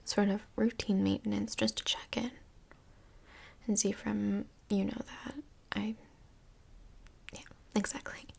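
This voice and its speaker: A woman playing a kindly, tactful couples' counselor in a soft-spoken ASMR role play, whispery, breathy and gently reassuring, with some hesitation. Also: medium pitch, level tone, measured pace, long pauses, exaggerated voice. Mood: attentive, patient.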